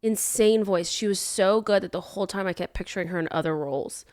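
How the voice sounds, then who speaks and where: A woman co-hosting a podcast in a studio, chatting casually, gushing with enthusiasm and quickly agreeing with her co-host. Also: medium pitch, a little mellow, dry recording. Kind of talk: casual chat.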